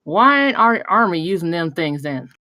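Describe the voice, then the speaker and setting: Excitable dim hunter, played by a woman, slangy country talk, very animated, dry recording, comedy table read. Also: on the low side, normal speed, dry recording. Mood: doubtful. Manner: folksy, slangy.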